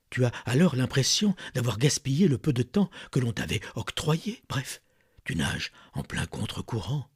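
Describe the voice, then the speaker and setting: A man giving a dramatic poetry reading in a husky, breathy, gravelly voice, theatrically swinging between hushed murmurs and forceful swells. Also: mid-range voice, dry recording, studio quality. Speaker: poetry reader.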